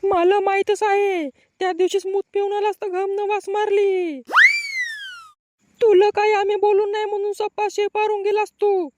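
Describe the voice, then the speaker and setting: Piercingly high nagging old villager. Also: female voice, little pitch movement, normal speed, mellow. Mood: nagging suspicion. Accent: rural Marathi. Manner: nagging, scolding. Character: suspicious, nagging elderly village woman in a comic cartoon. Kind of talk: comic cartoon dialogue.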